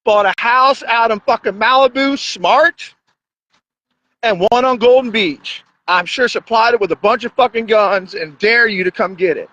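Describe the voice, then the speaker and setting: A man's voice: very high voice, bright, forceful tone, dry recording. A man talking in a blunt, crude, rambling monologue as a podcast host. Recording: dull lo-fi sound.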